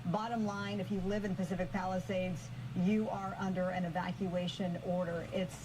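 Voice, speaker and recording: Low-voiced American woman anchor, noisy sound. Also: little pitch movement, normal speed. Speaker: TV news anchor. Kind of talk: live breaking news commentary.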